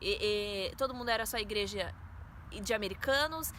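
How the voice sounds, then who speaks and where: Brazilian woman, twenties, high-ish voice, earnest storytelling vlog, traffic noise behind. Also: fairly quick, pushed and bright. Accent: Brazilian Portuguese. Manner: earnest, storytelling, addresses viewers as "gente". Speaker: vlogger. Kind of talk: personal faith testimony.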